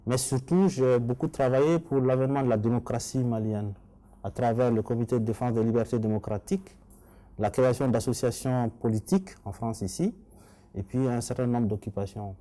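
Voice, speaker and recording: A middle-aged man with a Malian accent speaks in a mid-pitched, mellow voice. He sounds like a candidate in a formal interview, laying out his answers in an earnest, structured way. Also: brisk. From Mali. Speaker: election candidate being interviewed.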